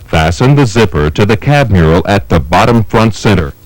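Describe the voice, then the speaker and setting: Low-voiced man narrating step-by-step instructions. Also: lively intonation, no room sound, poor audio. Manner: measured, methodical, step-by-step delivery. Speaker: training film narrator.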